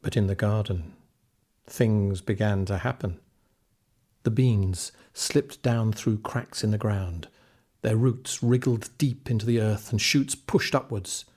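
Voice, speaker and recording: A man narrating a children's fairy tale in an expressive, scripted storytelling style. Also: lowish voice, takes it slowly, husky. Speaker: audiobook narrator.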